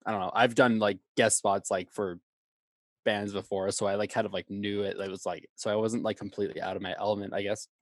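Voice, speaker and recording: A man's voice: on the low side, flat delivery, a little fast, no echo, studio quality. A man with a stutter, talking casually as a podcast guest, filling his speech with "like" and swearing. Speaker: podcast guest, band vocalist. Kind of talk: casual chat.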